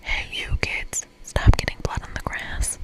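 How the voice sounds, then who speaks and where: A woman's voice: measured pace, close to an everyday voice. Woman whispering as a brash greedy businessman. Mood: irritated, bossy. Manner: gruff, bossy, condescending. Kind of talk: whispered ASMR game read-aloud.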